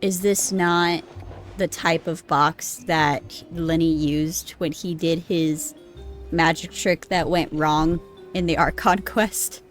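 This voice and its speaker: A woman's voice: low voice, fairly even intonation, slow. A woman live streamer chatting casually as she plays a video game, rambling, giggly and free with swearing. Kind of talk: casual gameplay stream chat. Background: game music.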